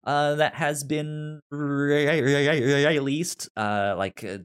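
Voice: mid-range voice, fairly even intonation, slow, smooth. A podcast host of unclear sex chatting casually about television, often stumbling and repeating words while explaining. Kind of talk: casual TV show discussion.

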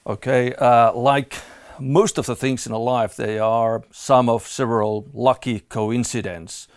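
Finnish-accented man, halting and dry. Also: medium pitch, fairly even intonation, clear, resonant tone, no room sound. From Finland. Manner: hesitant, many "uh" fillers. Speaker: guest, fund manager. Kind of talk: podcast interview.

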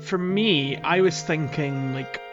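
A man's voice: on the high side, takes it slowly, lo-fi sound, over music. A man co-hosting a gaming podcast, talking casually in a hesitant, rambling way. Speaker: podcast co-host.

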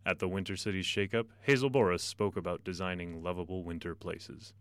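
Very deep-voiced male reporter narrating. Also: fairly even intonation, brisk, fairly bright tone, clear, resonant tone, dry recording. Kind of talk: scripted narration.

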